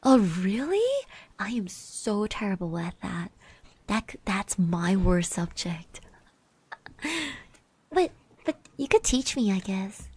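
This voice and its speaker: A woman playing a shy, friendly schoolgirl classmate in an ASMR roleplay, speaking softly, gently and hesitantly. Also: medium pitch, big pitch swings, slow pace, no room sound, heavily acted. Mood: soft, admiring. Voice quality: soft, breathy. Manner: hesitant, gentle, with little hums and trailing repeats. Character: shy, friendly high school girl classmate, admiring and easily flustered.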